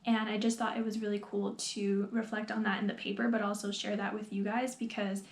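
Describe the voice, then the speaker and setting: A woman's voice: mid-range voice, flat delivery. Earnest New woman in echoey room. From New York, USA. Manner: earnest, reflective, quick and talkative. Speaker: PhD student vlogger. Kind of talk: personal vlog to camera. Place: small room with plain wall, indoors.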